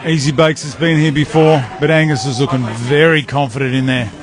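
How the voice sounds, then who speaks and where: A man's voice: medium pitch, fairly even intonation, brisk, background noise. A man giving informal fight commentary as an event commentator, speaking on a live mic. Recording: live mic amid very loud crowd. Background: loud crowd.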